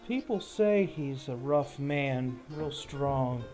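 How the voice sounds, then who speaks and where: A man voicing a wary, guarded thief and informant in a tabletop game, speaking in a hesitant, folksy, measured way. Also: mid-range voice, lively intonation, a little mellow, faint music behind. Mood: wary, musing. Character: wary low-life male thief and informant, casual and guarded.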